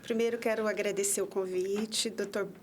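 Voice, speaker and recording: A woman's voice: mid-range voice, fairly even intonation, moderate pace, over a noisy crowd. A woman in her fifties from the interior of São Paulo, a prosecutor guesting on a podcast, telling her story in a hesitant way with many restarts. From interior of São Paulo state, Brazil. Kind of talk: conversational interview.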